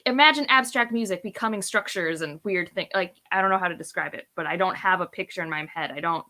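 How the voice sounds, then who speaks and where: A woman's voice: fairly low pitch, quick pace, sharp tone. A woman chatting casually as a co-host, in a rambling, self-deprecating way. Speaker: book reviewer, read-along co-host. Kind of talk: casual chat.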